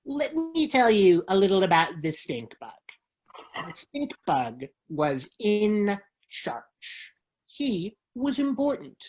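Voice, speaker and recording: A woman giving a measured, expressive dramatic reading of children's books. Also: medium pitch, a little hiss or hum.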